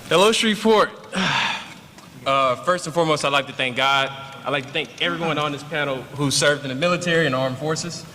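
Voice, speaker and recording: Brief: young male candidate, rapid and pressed, roomy echo, faint hall noise. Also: on the high side, sharp tone. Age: young adult. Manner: rapid, listing policy points. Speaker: mayoral candidate. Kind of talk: campaign speech at a panel. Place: large indoor event hall.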